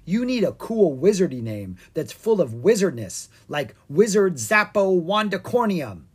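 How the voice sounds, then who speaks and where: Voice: medium pitch, sing-song, a little slow, breathy edge, pitched above the performer's own voice. A reader voicing a young boy dragon who is turned into a newt, in a children's storybook. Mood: excited.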